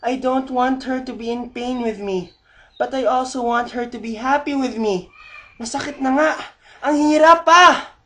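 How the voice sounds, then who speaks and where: A woman with a Filipino accent voicing a seriously ill young man who speaks softly and earnestly, at times gently teasing. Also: high voice, a little slow. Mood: anguished. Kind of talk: amateur audio drama acting.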